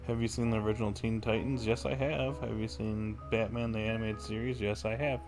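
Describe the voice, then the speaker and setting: A man's voice: lowish voice, level tone, a little fast, over music. A man livestreaming a video game, giving casual commentary into a streaming mic.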